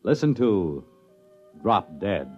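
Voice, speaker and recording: American male announcer, 1950s radio. Portentous, theatrical, wide inflection; muffled vintage recording over loud music. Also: low-pitched, sing-song, takes it slowly.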